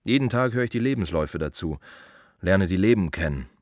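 A man's voice: deep voice, lively intonation, a little fast, no room sound, clean studio sound. A man playing a plain-spoken gravedigger in a radio play monologue, musing wryly in short, repeated phrases. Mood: wry, questioning. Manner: reflective, wry, clipped fragments with repeated phrases. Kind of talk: German radio drama monologue, conversational.

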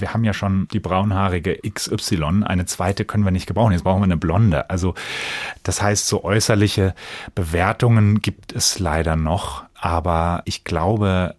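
Low voiced guy being interviewed on radio, earnest and reflective, really dry sound. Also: no room sound. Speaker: stage actor, interview guest. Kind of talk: conversational radio interview answers.

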